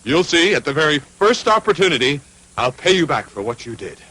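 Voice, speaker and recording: Loyal cartoon hound: husky, bright, high, heartfelt and drawn-out. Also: male voice, sing-song, full character voice, rough recording. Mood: grateful. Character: big dog, excitable, grateful, loyal. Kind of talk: dubbed cartoon acting.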